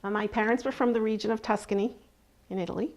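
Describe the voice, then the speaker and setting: A woman's voice: mid-range voice, fairly even intonation, fast talker, a little mellow. A middle-aged woman who explains things in a matter-of-fact way in an interview. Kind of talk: casual interview.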